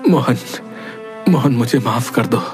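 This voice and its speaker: A man's voice: medium pitch, unhurried, voice pushed up, natural voice. Casting: male actor as young prince for a cartoon fable, earnest, dramatic, humbled, music bed underneath. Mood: remorseful. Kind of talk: Hindi animated moral story voice acting.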